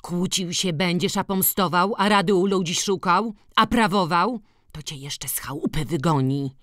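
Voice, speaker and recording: A man's voice: high voice, normal speed, pushed and bright, dry recording, clean studio sound, pitched above the performer's own voice. A man voicing a timid, worried peasant woman in an audiobook, plaintive and nagging in rural dialect. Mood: warning. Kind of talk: dramatized audiobook reading of rural dialect dialogue.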